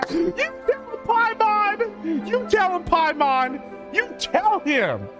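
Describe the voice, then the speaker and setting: A brash woman streamer reacts theatrically to gameplay. Her high voice swings wildly and she often draws words out, with game music clearly behind her. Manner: brash, sweary, exclamatory, theatrical.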